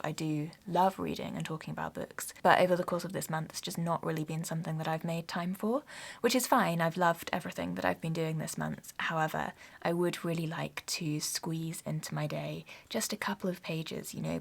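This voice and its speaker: A young woman from England, chatting casually in a home vlog, gentle and sometimes dropping to a soft whisper. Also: sits low, gravelly. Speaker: vlogger. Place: home, sitting by a Christmas tree.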